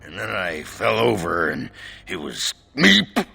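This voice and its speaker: An actor playing a boisterous drunken male warrior in a video game, rambling in slurred, halting speech. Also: deep voice, huge pitch swings, creaky, sharp tone, breathy edge, soft background music, heavily acted. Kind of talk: video game character acting.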